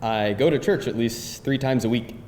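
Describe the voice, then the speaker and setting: A man's voice: mid-range voice, normal speed, a little mellow, lightly acted. An actor playing a quirky, dryly teasing man on a date in a live screenplay table read. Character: quirky atheist man on a date, secretly devoted churchgoer. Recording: echoey live room, faint noise.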